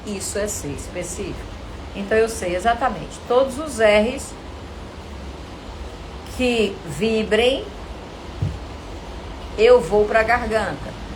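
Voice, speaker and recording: A woman with a Brazilian Portuguese accent coaching actors in an informal live lesson, animated and didactic, often asking questions and answering them herself. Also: mid-range voice, slow, pauses often, slight background noise, low-quality recording. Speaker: acting coach teaching a live online class.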